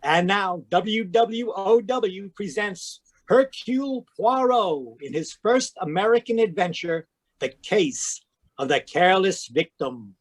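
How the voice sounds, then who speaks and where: A man's voice: sits high, animated, slow pace, full character voice. A man announcing an old-time radio mystery show in a grand, declaiming style over a video call. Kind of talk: 1940s radio acting.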